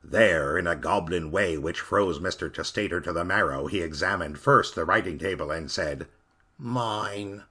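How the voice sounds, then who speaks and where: A man narrating a Victorian ghost story in a theatrical, expressive storyteller manner. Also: deep voice, normal speed, few pauses, husky.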